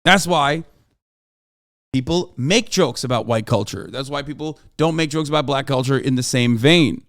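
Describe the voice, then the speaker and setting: A man's voice: mid-range voice, normal speed, a bit bright, dry recording, clean studio sound. Emphatic male streamer lecturing his chat. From Turkey. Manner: emphatic, repeats "okay?" and swears for emphasis. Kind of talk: livestream commentary lecturing chat.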